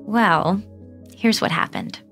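An actress playing an eager, starstruck young podcaster, narrating an audio drama in a chatty, self-interrupting way. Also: female voice, mid-range voice, takes it slowly, vocal fry, fairly bright tone, soft background music. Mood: excited, confiding. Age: young adult.

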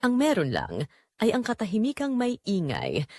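A woman playing a married mother who narrates a secret confession in an audio drama, with deliberate, dramatic delivery in short phrases. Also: mid-range voice, wildly swooping pitch, darkish tone, no echo, clean studio sound. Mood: intense, confessional. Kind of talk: scripted first-person audio drama narration.

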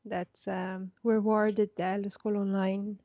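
A woman co-hosting a casual game webcast on a headset mic at home, eager and quick to agree. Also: medium pitch, little pitch movement, dark tone, dry recording, dull audio. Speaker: co-host. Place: home room. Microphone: headset with boom mic.

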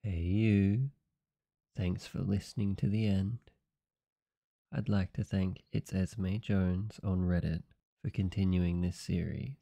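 A man gives a casual channel outro as host. His voice is low, very dark and gravelly, recorded close and dry. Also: fairly even intonation, slow pace, no echo.

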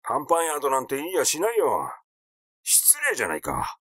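A man's voice: mid-range voice, huge pitch swings, fairly quick, fairly bright tone, no echo, clean studio sound. A man voicing a flustered, easily irritated male doctor in a story reading, hesitant, rambling and grumbling.

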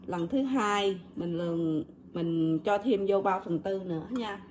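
A woman's voice: medium pitch, slow pace, darkish tone, a little hiss or hum. Chatty Southern Vietnamese woman cooking at home. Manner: chatty, addresses viewers directly, step-by-step. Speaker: cooking vlogger. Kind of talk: casual kitchen demonstration. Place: home kitchen, indoors. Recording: kitchen clatter of dishes and utensils.

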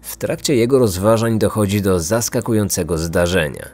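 Male true-crime podcast narrator, low voice, close dry recording over music. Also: animated, normal speed, darkish tone. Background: background music.

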